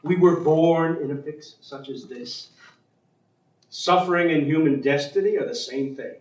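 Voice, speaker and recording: A man acting as a despairing professor in a stage play, with a somewhat high voice and dry, educated diction. He is curt and sceptical in his answers. The sound is distant and very echoey. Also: a little slow, a little mellow, lightly acted. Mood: bitter, argumentative. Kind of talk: staged play reading, dialogue. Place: theatre stage.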